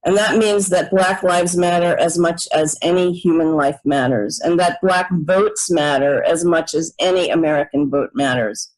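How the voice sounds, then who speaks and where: A woman's voice: fairly low pitch, normal speed, few pauses, a little mellow, poor audio. A woman giving a formal, earnest prepared advocacy statement for a social justice group.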